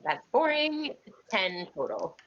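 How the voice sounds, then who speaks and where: A woman's voice: mid-range voice, very animated, slow pace, fairly bright tone, lo-fi sound. A woman playing an online tabletop game, chatting casually with a playful manner.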